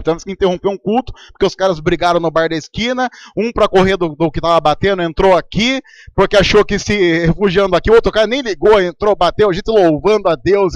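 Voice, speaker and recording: A Brazilian man preaching a sermon in a relaxed, conversational way, telling stories from his church. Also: high-pitched, fast talker, dry recording, muffled recording. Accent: Brazilian Portuguese. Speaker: pastor.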